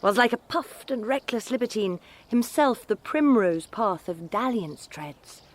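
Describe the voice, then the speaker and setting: An actress playing a dutiful, modest yet gently spirited young noblewoman in formal, measured Shakespearean verse. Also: a little high, expressive. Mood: gently teasing. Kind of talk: Shakespearean stage acting.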